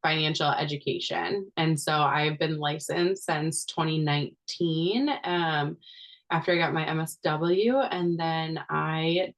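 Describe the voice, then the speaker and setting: A woman guest on a podcast, a financial therapist, introducing herself in a measured, explanatory way. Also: low-pitched, steady tone. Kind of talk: interview conversation.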